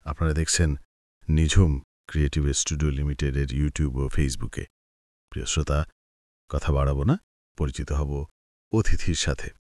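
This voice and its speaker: Male host, Bangladeshi Bengali accent, deep low pitch, dry close sound, short interview questions. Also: steady tone, moderate pace, long pauses, darkish tone, no echo. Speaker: radio-style show host and interviewer. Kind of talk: hosting a life-story interview, asking short questions.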